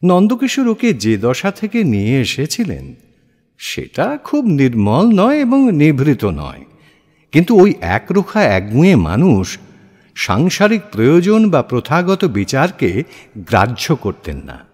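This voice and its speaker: Very expressive, slow male story narrator. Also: a little high. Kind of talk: dramatic literary story narration for an audio play. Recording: long hall-like reverb. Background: music.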